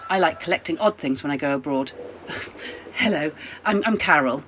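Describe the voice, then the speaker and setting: Woman acting low-voiced, chatty British traveller. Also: animated, moderate pace, a bit bright, low-quality recording. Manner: polite, talkative, apologetic. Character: chatty, polite, self-deprecating middle-class married woman traveller. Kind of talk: radio play acting. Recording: faint noise, train rumble.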